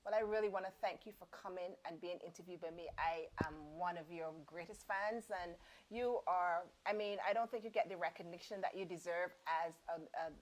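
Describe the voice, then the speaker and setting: A woman's voice: on the low side, a little fast. A woman interviewer who keeps up short, encouraging affirmations and speaks as a fan of her guest. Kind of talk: casual interview.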